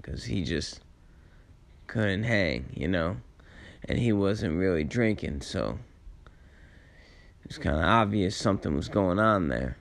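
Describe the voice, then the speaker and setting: A man with a raspy voice, tired late in the day, telling personal stories in a casual, rambling, halting and reflective way with laid-back slang. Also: deep voice, expressive. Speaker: storyteller sharing personal memories.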